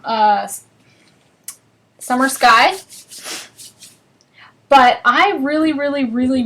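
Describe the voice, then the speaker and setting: Woman, northern US accent, bubbly live craft-class host, slightly high voice. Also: fairly bright tone. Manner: chatty and bubbly, chatting with viewers while working.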